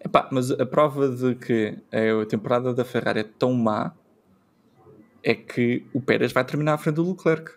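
A man's voice: mid-range voice, normal speed. A man with a European Portuguese accent hosting a casual podcast chat, steering the talk and laughing often. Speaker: podcast host.

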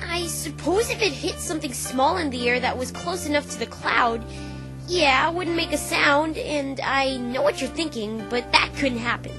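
Woman voicing a high-pitched brash young pegasus pony. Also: normal speed, lightly acted. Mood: casual, explaining. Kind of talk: fan dub voice acting of a visual novel. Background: music.

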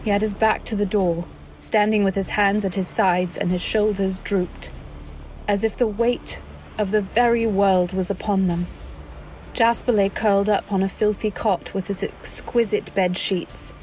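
A woman's voice: mid-range voice, fairly even intonation, unhurried, a little mellow, faint music behind, close to an everyday voice, poor audio. A woman playing an anxious, devoted wife who narrates her story in dramatic old-time radio style. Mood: somber. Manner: dramatic, earnest delivery. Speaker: narrator and actor.

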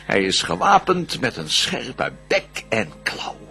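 An actor playing a proud, scheming fallen angel out for revenge, declaiming raspy verse in a radio drama. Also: male voice, medium pitch, wildly swooping pitch, slow, bright, forceful tone, exaggerated voice. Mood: grand, excited. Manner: declamatory, grandiose.

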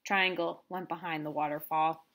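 An agreeable, cautious male shape in a children's storybook, voiced by a woman. He speaks simply and compliantly, in a bright voice. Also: deep voice, steady tone, moderate pace, pitched below the performer's own voice.

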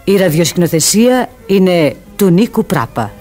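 A man's voice: sits high, sing-song, darkish tone. A man reading credits formally as a radio theatre announcer. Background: music.

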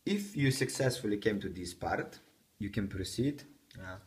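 A man's voice: fairly low pitch, expressive, moderate pace, far from the mic. A young man, hosting a homemade cooking show in a small kitchen with loose, joking chatter. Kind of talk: playful mock cooking-show talk. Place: small home kitchen, indoors. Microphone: camera a few feet away. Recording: roomy, off-mic kitchen sound.

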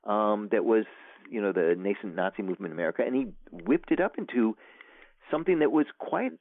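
A man's voice: on the low side, animated, brisk. A man, an author guest, chatting casually in an interview, rambling with lots of fillers and restarts. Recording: tinny phone line, dry.

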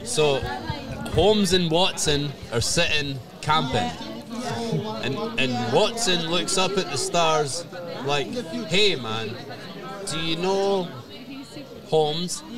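A man telling a joke and bantering loudly, swearing freely, at an outdoor bar table. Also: a little high. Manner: rowdy, swearing, storytelling. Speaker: podcast co-host. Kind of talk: loud casual podcast banter. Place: outdoor bar terrace at night. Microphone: table mic on stand. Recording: loud bar music and crowd. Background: loud music and crowd.

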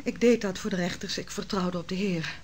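An actress playing a falsely accused young wife who gravely recounts her ordeal in an old radio drama. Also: fairly low pitch, fairly quick, a little mellow, close to an everyday voice. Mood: anguished. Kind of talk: 1960s Dutch radio drama.

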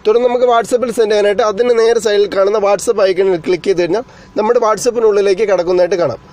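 High-pitched, quick-talking man. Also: fairly even intonation, darkish tone. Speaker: tech tutorial host. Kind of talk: explanatory screen-recording tutorial narration.

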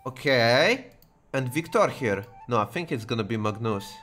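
A man's voice: mid-range voice, expressive, fairly bright tone, soft background music. Young man streaming a strategy game, headset mic. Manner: joking, exclaiming, drawn-out "dude". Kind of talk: casual gaming banter.

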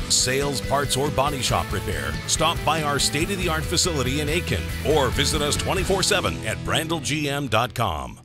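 Male sponsor announcer over loud music. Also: medium pitch. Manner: smooth, polished ad delivery. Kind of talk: scripted sponsor read.

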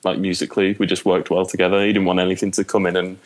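Young english guy, super low voice, rambly chat with loads of ums, at home in his room. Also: little pitch movement, very fast. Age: young adult. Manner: chatty, rambling with fillers. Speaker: podcast guest, bassist. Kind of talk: casual chat.

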